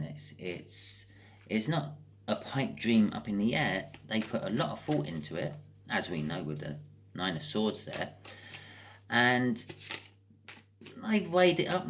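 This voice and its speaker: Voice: medium pitch, slight background noise. A British speaker giving a casual, improvised tarot card reading as host, chatting and thinking aloud with wry asides.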